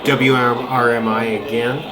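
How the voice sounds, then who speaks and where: A man's voice: medium pitch, flat delivery, takes it slowly, over a noisy crowd. A man giving casual, unscripted commentary as a radio hobbyist, musing and tentative as he thinks aloud. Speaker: shortwave radio hobbyist narrating a video. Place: close to a playing shortwave radio. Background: shortwave radio broadcasts with music.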